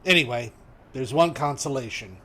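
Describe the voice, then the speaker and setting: An actor as a dry, resigned police commissioner. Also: male voice, medium pitch, normal speed, pushed and bright. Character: weary, matter-of-fact male police commissioner. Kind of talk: 1930s radio drama acting.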